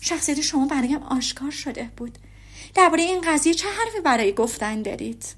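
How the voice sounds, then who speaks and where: A woman's voice: sits high, lively intonation, pitched above the performer's own voice. A woman reading as a fiery young lady. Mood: indignant. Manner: heated, rising and accusing. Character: spirited young woman, indignant and sharp-tongued.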